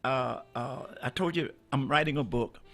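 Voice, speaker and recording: An older man from Mississippi, a former radio DJ and minister, telling his life story in a relaxed, folksy way with many restarts and chuckles. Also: medium pitch, normal speed. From Mississippi, USA.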